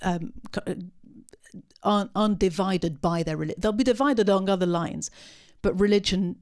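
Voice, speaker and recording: A woman speaking unscripted at a post-film discussion, answering audience questions with many pauses, ums and restarts. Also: medium pitch, a little mellow. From part Syrian.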